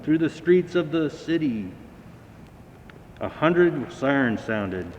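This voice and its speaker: Guy reading kids story, unhurried n dramatic, big echo, kinda muffled. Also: very animated, darkish tone. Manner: dramatic, acting out sounds and dialogue. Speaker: fire battalion chief reading a children's story. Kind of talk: expressive storybook narration. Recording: echoey hall, dull sound, faint rumbling noise.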